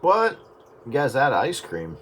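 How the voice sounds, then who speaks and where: A man's voice: mid-range voice, wildly swooping pitch, a little slow. A man chatting casually and jokingly over two-way radio, rambling along as a hobby operator. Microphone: radio transceiver microphone. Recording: very noisy two-way radio transmission.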